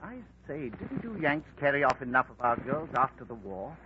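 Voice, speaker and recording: Actor as a stiff type, clipped and animated, vintage lo-fi radio sound. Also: male voice, mid-range voice, moderate pace, close to an everyday voice. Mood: wary. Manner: formal and clipped. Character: proper, stuffy British police inspector. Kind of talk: 1940s radio acting. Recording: faint hiss, lo-fi old radio recording.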